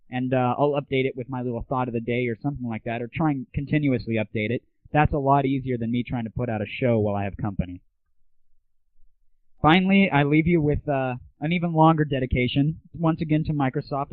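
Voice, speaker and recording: A man's voice: on the high side, no echo. A man hosting a casual solo show, giving blunt, opinionated commentary peppered with swearing. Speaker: show host. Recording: narrow, phone-like lo-fi audio.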